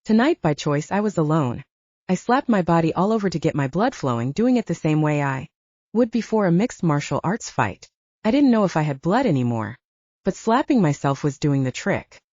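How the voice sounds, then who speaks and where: A woman's voice: sits low, big pitch swings, moderate pace, a little mellow, dry recording. A woman narrating a scripted first-person story as an audiobook narrator, in a slightly dull recording.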